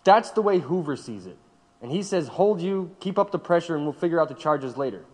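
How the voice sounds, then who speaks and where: Actor as a probing investigator, echoing voice. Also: male voice, on the high side, lively intonation, brisk. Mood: measured, probing. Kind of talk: audio drama acting. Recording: echoey hall reverb.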